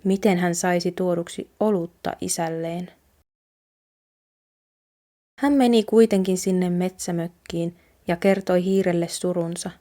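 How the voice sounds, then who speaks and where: A woman's voice: fairly low pitch, fairly even intonation, warm tone. A woman from Finland narrating an old folk tale aloud from a storybook. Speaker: Finnish teacher reading aloud.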